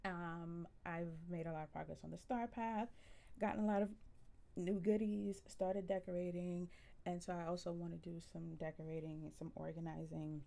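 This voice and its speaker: A woman with a generally soft voice chatting casually with viewers on a live stream, relaxed and full of ums. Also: on the low side, normal speed, vocal fry. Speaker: livestreamer.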